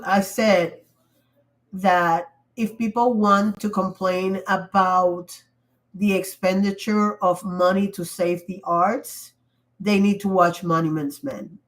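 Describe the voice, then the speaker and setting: A woman hosting a casual livestream while sick and losing her voice, talking in an emphatic, rambling way with frequent restarts. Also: medium pitch. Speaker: livestream host. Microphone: computer mic.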